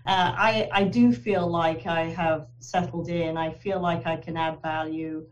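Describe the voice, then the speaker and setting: A woman's voice: fairly low pitch, fairly even intonation, measured pace, distant mic, highs cut off. A woman from southern England, a company HR director, talking earnestly in a conversational interview with frequent fillers and restarts. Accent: southern English. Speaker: HR director, podcast guest.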